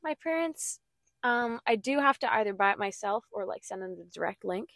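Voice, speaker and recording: A young woman co-hosting a podcast, talking in a relaxed, soft way and laughing often. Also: mid-range voice, normal speed, vocal fry. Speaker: podcast co-host. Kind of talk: casual chat. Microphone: small podcast mic.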